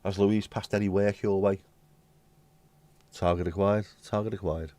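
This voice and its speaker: Hoarse British man, very deep voice. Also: fairly even intonation, moderate pace, mellow, dry recording. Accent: British English. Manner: blunt, swears casually. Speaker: livestream host. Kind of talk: casual chat reading viewer comments.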